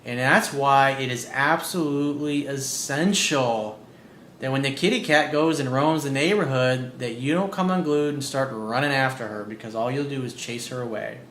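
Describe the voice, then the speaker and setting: A man working as a dating coach, giving blunt, profane advice as he reads out listener emails. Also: moderate pace. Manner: blunt and profane, animated, repeats key points. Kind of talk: casual advice monologue reading out emails. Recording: roomy echo with faint low rumble.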